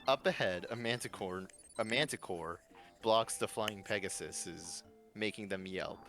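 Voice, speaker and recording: A man's voice: fairly low pitch, measured pace, fairly bright tone, soft background music. A man narrating a fan-written story live, reading from a script with small stumbles and repeats.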